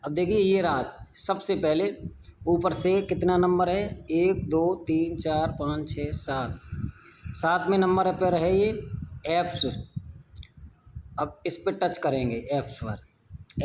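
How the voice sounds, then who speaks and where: Male tutorial host, slightly high voice, informal and repetitive step-by-step delivery, faint background noise on an amateur recording. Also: a little mellow, low-quality recording. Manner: repeats phrases and instructions as he goes.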